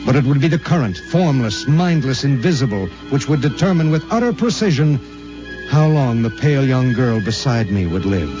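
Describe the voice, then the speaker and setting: An American actor playing a resolute, regretful spaceship pilot in an earnest 1950s radio drama style. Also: male voice, medium pitch, expressive, normal speed, lightly acted. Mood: somber narrating. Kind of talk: 1950s radio drama acting with first-person narration. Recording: dull vintage radio recording with music.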